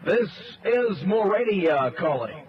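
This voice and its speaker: A man's voice: sits high, big pitch swings, takes it slowly, slight background noise. A man giving a solemn, proclamatory station announcement in a formal radio style. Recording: dull lo-fi shortwave radio recording, music behind. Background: music.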